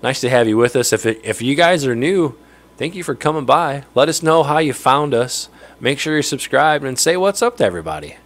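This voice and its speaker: A man's voice: medium pitch, expressive, brisk, fairly bright tone. Nasal man hosting a chatty livestream. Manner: chatty, greets viewers by name, laughs often. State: getting over a cold. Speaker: livestream host. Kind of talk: casual livestream chat. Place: home fishroom with aquariums, indoors. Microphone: studio mic on stand close in front.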